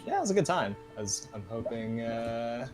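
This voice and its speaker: A man's voice: mid-range voice, sing-song, moderate pace, over music. A young man talking casually as a co-player in a gaming video. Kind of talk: casual chat.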